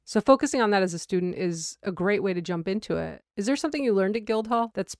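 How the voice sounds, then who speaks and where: Young woman host, friendly and chatty, smooth clear tone, dry recording. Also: mid-range voice, fast, dry recording. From United States. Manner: friendly, chatty, lively storytelling. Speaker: interviewer. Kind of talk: casual interview.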